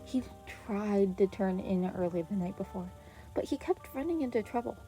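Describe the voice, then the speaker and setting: A woman reading scripted fiction aloud as an expressive podfic narrator. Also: medium pitch, moderate pace, poor audio. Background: background music.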